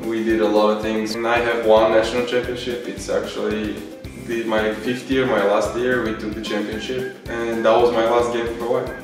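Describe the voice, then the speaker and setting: A man's voice: on the low side, level tone, moderate pace, hardly any pauses, far from the mic. A man in his twenties with a Bulgarian accent, a volleyball player talking casually about himself in an interview. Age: 20s. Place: beach outdoors and trophy room indoors. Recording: very echoey sound with music behind.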